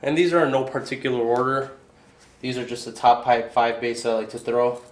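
A young man hosting a casual fishing video indoors, talking in a relaxed, hesitant way with frequent fillers, recorded on a camera mic at a counter. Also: medium pitch, fairly quick. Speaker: fishing channel host. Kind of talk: casual tackle review. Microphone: camera mic on tripod.

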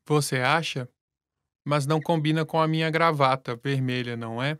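A man reading scripted lesson dialogue in a plain, relaxed way. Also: fairly high pitch, normal speed, smooth, no room sound, clean studio sound. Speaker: language lesson voice actor.

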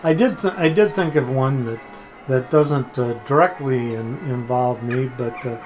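Older man, mid-pitched voice, halting casual answers, podcast guest, faint music. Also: a little mellow. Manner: halting, hesitant, searching for words. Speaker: podcast guest, former religion writer.

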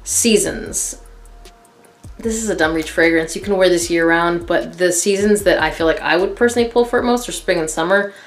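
A woman's voice: fairly low pitch, moderate pace, soft background music. A woman in her thirties casually reviewing a fragrance to camera from her living room, candid and chatty with many fillers. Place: living room in front of a couch, indoors.

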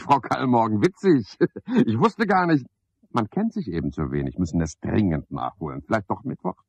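An actor playing a garrulous, self-pitying and sanctimonious porter, rambling theatrically on the telephone in a 1970s German radio play. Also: male voice, lowish voice, husky, no echo, exaggerated voice. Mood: coaxing, flirtatious. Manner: theatrical, rambling one-sided telephone talk with sudden swoops. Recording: dry, slightly dull 1970s radio recording.

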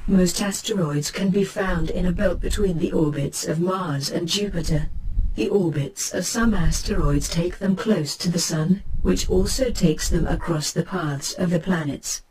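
An actor voicing a calm, knowledgeable artificial ship's computer, giving scripted encyclopedic narration in a measured, formal, precise manner. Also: mid-range voice, natural voice. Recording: clear noise, spaceship ambience behind.

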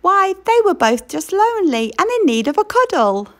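A woman reading a children's picture book aloud in an expressive storytelling way. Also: very high voice. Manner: expressive storytelling, slow and lilting.

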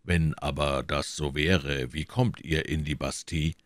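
A cold police commissioner in a storybook, voiced by a male reader, probes with a very low, close and dry voice. He is stern and suspicious, showing little care for the prisoner. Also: steady tone, measured pace, no echo. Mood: doubtful, probing. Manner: stern, indifferent, probing. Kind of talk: acted audiobook dialogue.

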